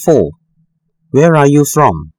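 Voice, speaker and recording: A man reads numbered sentences for a school listening test, pausing and pronouncing each word with care. His pitch is mid-range but swings widely. It is recorded close and dry, with no room sound. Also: sing-song, darkish tone, no room sound. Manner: slow, careful, deliberate enunciation. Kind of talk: scripted textbook listening exercise.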